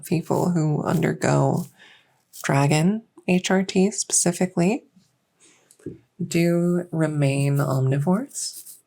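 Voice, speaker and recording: Woman playing a husky, low-voiced dragon clinician. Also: darkish tone, exaggerated voice, low-quality recording. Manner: unhurried, hesitant, reassuring. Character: gentle, reassuring fairy-dragon clinician doing a check-up. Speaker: roleplay audio performer. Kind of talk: soft medical roleplay ASMR.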